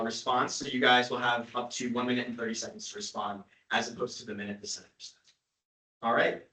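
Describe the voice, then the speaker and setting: A man's voice: mid-range voice, bright, forceful tone. A young man moderating a student candidate forum, announcing each step and giving timed instructions plainly. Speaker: town hall moderator. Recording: echoey room from far off.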